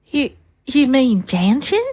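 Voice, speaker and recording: A woman's voice: high-pitched, sing-song, takes it slowly, a little mellow, husky, exaggerated voice. An actress playing an anxious, polite first lady in an audio drama, earnest and hesitant in her delivery. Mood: uneasy.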